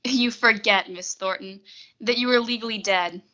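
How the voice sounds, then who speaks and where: A woman's voice: mid-range voice, sharp tone, muffled recording, lightly acted. A young woman acting a cool, formal, menacing blackmailer receptionist in a 1940s-style radio suspense play. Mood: coolly threatening. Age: young adult. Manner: formal, measured, polite menace. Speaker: high school theatre student actor.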